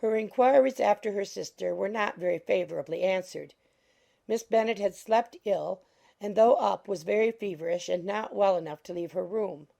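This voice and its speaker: A woman's voice: mid-range voice, normal speed, vocal fry, warm tone. A woman narrating a literary audiobook from a script.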